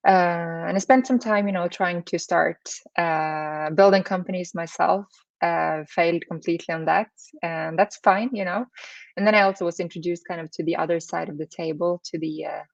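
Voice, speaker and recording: A woman's voice: lowish voice, steady tone, moderate pace, gravelly, no echo, dull audio. A woman in her thirties from Norway with a Norwegian accent, giving conversational interview answers peppered with "you know". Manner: hedging, frequent "you know". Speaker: interview guest, startup accelerator CEO.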